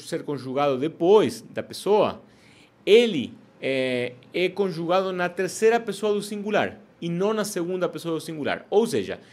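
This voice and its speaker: A man teaching Spanish to learners in a relaxed online lesson, explaining step by step and often checking in with "ok?". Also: high voice.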